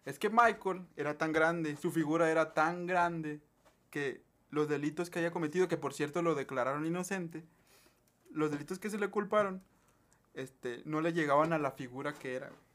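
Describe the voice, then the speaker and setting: A young man with a Mexican Spanish accent talks in a loose, meandering way, often pausing on fillers and restarting his sentences. His voice sits a little on the high side. It has the easy feel of a casual podcast conversation. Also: a bit bright. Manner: rambling, full of fillers and self-corrections. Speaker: podcast co-host. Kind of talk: casual chat.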